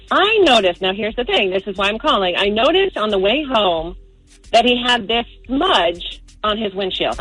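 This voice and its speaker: A woman's voice: medium pitch, fairly bright tone, no room sound, poor audio. A woman calling in to a radio show, telling a personal story in a chatty, informal way full of filler "like". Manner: informal, peppers talk with "like".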